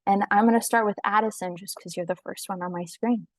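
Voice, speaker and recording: A woman's voice: mid-range voice, steady tone, a little fast, dry recording, clean studio sound. A young woman moderating a student panel over a video call, explaining things in an organized way. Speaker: panel host. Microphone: video call microphone.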